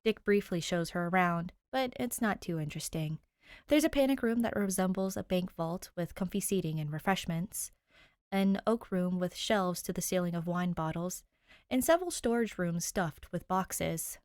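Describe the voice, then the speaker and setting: A woman hosting and reading fan fiction aloud in an informal, bubbly, expressive way. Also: fairly low pitch, moderate pace, dry recording, studio quality. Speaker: host and reader of a fan fiction podcast. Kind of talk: casual intro chat and expressive fiction narration.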